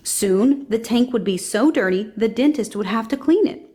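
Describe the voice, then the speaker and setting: A woman's voice: fairly high pitch, animated, moderate pace, warm tone. A woman reading a children's storybook aloud as scripted narration.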